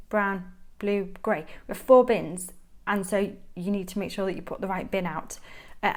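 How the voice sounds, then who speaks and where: Young mancunian woman chatting away about her planner, bubbly, laughs a lot, middle pitch. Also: darkish tone. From Manchester, England. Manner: chatty, enthusiastic, laughs often. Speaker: planner vlogger. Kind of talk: casual show-and-tell chat.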